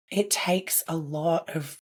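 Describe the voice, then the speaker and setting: Woman, low and hoarse from laryngitis, earnest reflective podcast guest. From Australia. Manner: earnest, reflective, with frequent "like" and "I guess". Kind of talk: conversational podcast interview.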